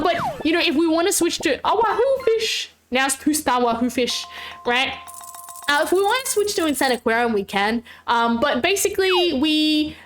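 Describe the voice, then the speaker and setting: A woman streaming a fishing game, chatting casually in a bubbly way, with a slight sore throat. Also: high-pitched. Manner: bubbly, chatty, frequent squeals and laughs. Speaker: VTuber streamer. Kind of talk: casual live-stream chat.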